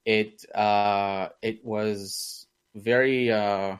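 A young man from India talks casually as an interview guest, in a blended accent with Indian, British and American traces. His voice sits a bit low. He rambles, circling back through fillers and restarts. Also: steady tone, extremely slow, smooth. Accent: mixed Indian, British and American.

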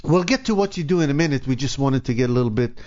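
A man's voice: mid-range voice, animated, racing, darkish tone, lo-fi sound. A middle-aged man hosting a radio show, chatting casually with lots of fillers and restarts. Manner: rambling, hesitant, many fillers and restarts. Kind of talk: casual on-air banter. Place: radio studio.

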